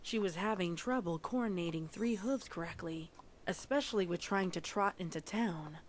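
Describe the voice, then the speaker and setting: A woman's voice: fairly low pitch, normal speed, a little hiss or hum. A woman narrating a story in a scripted fan fiction reading.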